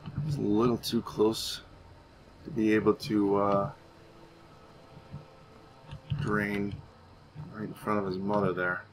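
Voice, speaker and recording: Low-voiced man doing casual game commentary. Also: steady tone, a little slow, rough recording. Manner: rambling, thinking aloud. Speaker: gaming channel host. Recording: faint background noise.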